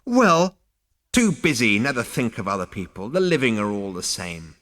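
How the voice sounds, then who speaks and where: A man voicing a tiny, ancient two-headed male ghost who snaps and scolds in a rasping, screechy voice. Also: mid-range voice, big pitch swings, moderate pace, fairly bright tone, big-room sound. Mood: contemptuous. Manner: snappish, disdainful scolding.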